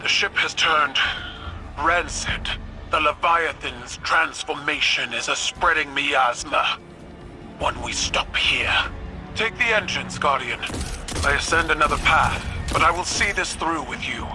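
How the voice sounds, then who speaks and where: Proud stern alien empress for a game, slow and commanding, music behind. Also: female voice, deep voice. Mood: resolute. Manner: slow, commanding, formal, defiant. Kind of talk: dramatic video game cutscene acting.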